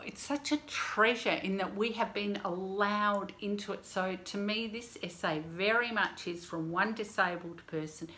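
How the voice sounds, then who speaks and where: A woman, hosting a casual book review from her living room, chatty and animated with many asides. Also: fairly low pitch. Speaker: book reviewer and channel host. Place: home living room, indoors. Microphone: camera on desk, framed head and shoulders.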